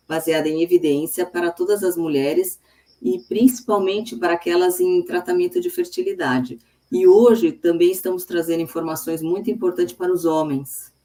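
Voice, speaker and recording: A woman's voice: low voice, mellow. A woman from São state, hosting an online medical livestream in a friendly, conversational way on a video call. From São Paulo state, Brazil. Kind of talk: hosting an online live talk.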